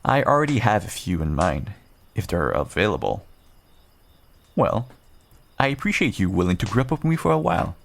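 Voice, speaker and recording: A man playing a seasoned werewolf adventurer, gruff but kindly and wry, talking straight to the listener in an audio roleplay. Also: fairly low pitch, big pitch swings, normal speed, pauses often, heavily acted. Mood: amused, agreeable. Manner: reassuring, wry, bantering. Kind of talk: audio roleplay, one-sided dialogue addressed to listener.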